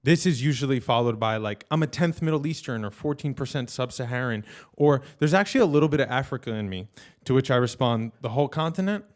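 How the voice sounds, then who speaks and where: A man's voice: medium pitch, animated, fast. A man storyteller telling a comic personal story live, acting out the people he quotes.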